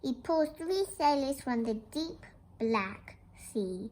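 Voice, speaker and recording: A woman's voice: high-pitched, lively intonation, slow, darkish tone. A young girl reads a children's picture book aloud, explaining it and asking questions like a little teacher. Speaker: young storybook reader.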